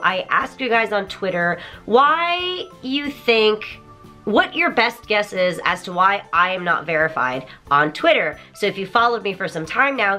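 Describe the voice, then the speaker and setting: A woman in her thirties talks to her viewers from a home studio, her voice croaky from a recent sore throat. Her tone is bright and forceful, and she chats in a lively, apologetic way. Soft music plays faintly underneath. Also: mid-range voice. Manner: chatty, animated, apologetic. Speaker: YouTuber. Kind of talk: casual vlog to camera. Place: bedroom studio with toy-filled shelves.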